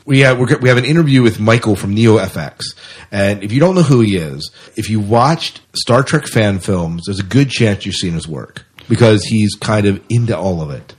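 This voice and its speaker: A man's voice: lowish voice, animated, moderate pace, breathy edge. A man co-hosting a podcast in casual, rambling chat, often repeating his phrases. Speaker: podcast co-host.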